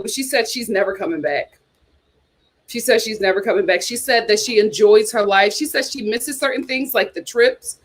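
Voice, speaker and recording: Chatty woman hosting a livestream. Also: fairly high pitch. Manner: chatty, animated, repeats herself. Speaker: YouTube host. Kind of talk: casual livestream chat reading comments.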